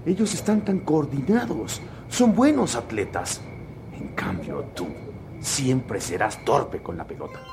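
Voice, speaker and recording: A man's voice: mid-range voice, sing-song, measured pace, noisy background. An actor playing a harsh, mocking father who belittles his son. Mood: scornful. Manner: mocking and contemptuous. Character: harsh, scornful middle-aged father.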